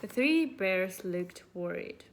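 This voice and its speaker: A woman's voice: medium pitch, animated, slow. A woman storyteller reading a children's story aloud expressively.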